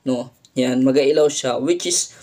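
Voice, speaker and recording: A man's voice: mid-range voice, measured pace, darkish tone. Man in a casual vlog. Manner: conversational, frequent "no?" tags. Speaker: guitar effects vlogger. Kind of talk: casual vlog demo, Tagalog mixed with English. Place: indoor home studio by a window.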